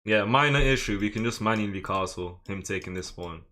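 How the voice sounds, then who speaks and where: A man streaming live chess, talking through his moves in a casual, slangy, excitable way and chatting with viewers. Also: fairly low pitch, fairly quick. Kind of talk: casual live game commentary.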